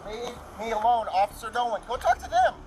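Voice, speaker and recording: Angry, rambling man in a street argument, high voice, distant bodycam audio. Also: expressive, brisk, crowd noise. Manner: agitated, rambling, talks over others. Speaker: arrested member of the public. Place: outdoors by a building on a street.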